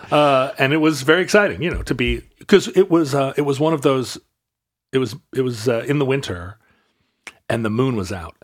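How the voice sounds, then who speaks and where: A man's voice: mid-range voice, moderate pace. Wry rambling male storyteller. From Alaska. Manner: rambling, restarts and digressions, wry. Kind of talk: casual chat, storytelling.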